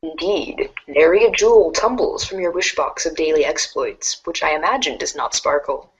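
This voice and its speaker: A woman's voice: low-pitched, moderate pace, slightly rough, lo-fi sound. A woman playing a wry, haughty teenage girl who speaks with ornate, dry irony in a storybook fan dub. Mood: dry, ironic.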